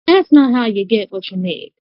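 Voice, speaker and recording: A woman's voice: medium pitch, lively intonation, moderate pace, dry recording, close to an everyday voice. A woman playing a shrewd, protective female dealmaker in a tabletop game, with a drawling, folksy manner. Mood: coaxing, knowing. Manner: drawling, folksy, calls people baby. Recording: dry, slightly dull voice-chat audio.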